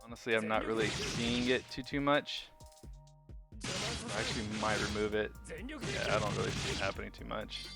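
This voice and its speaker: A man's voice: fairly low pitch, big pitch swings, moderate pace, vocal fry, loud music behind the voice. A man giving casual, unscripted video game commentary, rambling and thinking aloud as host of the review.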